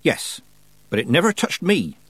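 A man's voice: medium pitch, very animated, moderate pace, a bit bright, slightly rough, no room sound, voice pushed up. Man voicing a growling, prickly old country witch. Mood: triumphant, instructive. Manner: brusque, folksy rural turns of phrase. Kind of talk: audiobook character voice.

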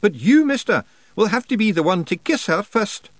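A tiny, boisterous fairy warrior chief, put on by a male narrator with a broad Scottish accent, pitched high and swooping wildly as he blusters cheerfully through a storybook reading. The voice sits close and dry, with no room around it. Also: very animated, moderate pace, no room sound. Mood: blustering. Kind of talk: audiobook character voice.

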